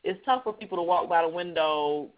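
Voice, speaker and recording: A man's voice: on the high side, a little fast. A male radio show host with a heavy voice, chatting loosely in an interview. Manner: says he has a heavy voice; chatty, rambling with restarts. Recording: tinny phone-like line.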